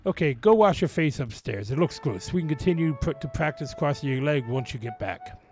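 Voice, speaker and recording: A man voicing a fed-up, two-faced young female sheep in a story, curt and falsely sweet, with a creaky voice. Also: fairly high pitch, expressive, fairly quick, darkish tone, faint music behind, close to an everyday voice. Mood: fake sweetness.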